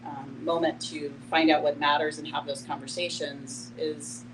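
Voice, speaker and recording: Low-voiced middle-aged woman, noisy distant recording. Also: little pitch movement, moderate pace. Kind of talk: casual group discussion. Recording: noisy room, distant mic, hum.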